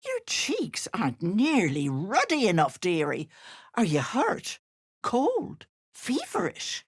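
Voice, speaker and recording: A sly old Irish witch for a video game. The voice is husky and raspy, swooping widely in pitch and taking its time. She coos sweet endearments with gleeful menace underneath. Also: wildly swooping pitch, slow pace, heavily acted. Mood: sweetly wheedling. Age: older. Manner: wheedling endearments turning to menace. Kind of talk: video game character acting.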